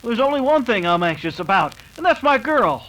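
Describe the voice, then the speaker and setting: A man's voice: very high voice, very animated, brisk, fairly bright tone, heavily acted, rough recording. A man playing an earnest, defiant young American cowboy in an old-time radio western. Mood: urgent, earnest.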